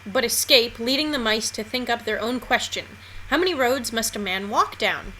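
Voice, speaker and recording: A woman presents a scripted explainer in a crisp, forward, bright tone. Her pitch is a little high. The room is clearly echoey, with faint noise underneath. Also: animated, moderate pace. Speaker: co-host of a geek culture explainer show.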